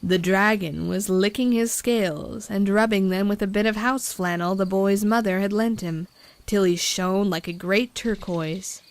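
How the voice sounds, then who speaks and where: A woman reading a storybook as narrator, in a steady, measured way. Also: medium pitch. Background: music.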